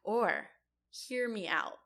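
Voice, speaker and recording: A woman's voice: mid-range voice, animated, slow, vocal fry, fairly bright tone. A woman co-hosting a podcast in casual chat, playfully teasing and riffing as she reacts and asks questions.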